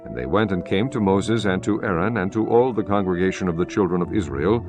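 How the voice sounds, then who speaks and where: A man narrating scripture from a script in a solemn, measured manner. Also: low-pitched, music in the background.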